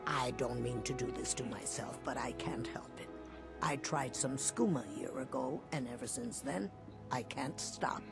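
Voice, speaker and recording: A man acts out a down-on-his-luck addict in a fantasy game, anxious and ashamed. His voice sits fairly high and crackles with gravel as he pleads, while music plays clearly behind him. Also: moderate pace, close to an everyday voice. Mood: desperate pleading. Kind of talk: fantasy video game dialogue acting.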